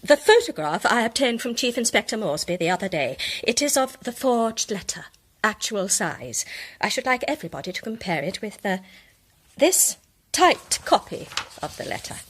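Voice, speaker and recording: Radio drama: self-assured woman sleuth, articulate and deliberate, theatrical reveals, slightly husky, animated pitch. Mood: assured, methodical. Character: shrewd, self-assured woman amateur detective laying out her case. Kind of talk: British radio drama acting.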